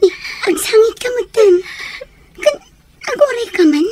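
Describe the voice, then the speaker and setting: High voiced filipino woman as a worried exasperated friend in a radio drama, bit of background noise. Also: measured pace. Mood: shocked, exasperated. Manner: expressive, questioning, exclaiming. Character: concerned, exasperated woman friend hearing out troubles. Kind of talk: Filipino radio soap acting.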